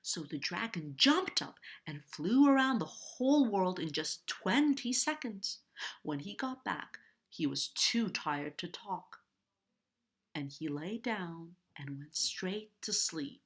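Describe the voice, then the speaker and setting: A woman's voice: fairly low pitch, expressive, slow pace. A woman reading a children's story aloud expressively, stretching key words for dramatic emphasis. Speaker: storybook reader.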